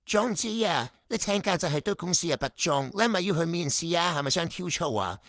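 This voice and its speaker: A woman's voice: on the low side, lively intonation, brisk, dry recording, clean studio sound. A woman playing a mean, emotional office boss in a radio drama, scolding and firing off accusatory questions. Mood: scornful, suspicious. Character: stingy, emotional, guilt-tripping office boss. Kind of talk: Cantonese workplace radio drama acting.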